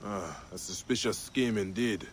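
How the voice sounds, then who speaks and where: Actor as a deep-voiced, determined ancient Egyptian man. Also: a little slow, slight background noise, lightly acted. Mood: questioning. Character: serious, determined male investigator-protector in ancient Egypt. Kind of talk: video game voice acting.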